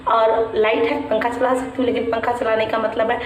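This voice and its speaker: A young woman vlogger, her voice worn by a sore throat, talking softly and haltingly to her viewers from home. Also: fairly high pitch, level tone. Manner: soft, halting, reflective; frequent restarts with "matlab". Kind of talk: personal vlog talking to viewers. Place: room at home. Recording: echoey room, mic at a distance, faint hum.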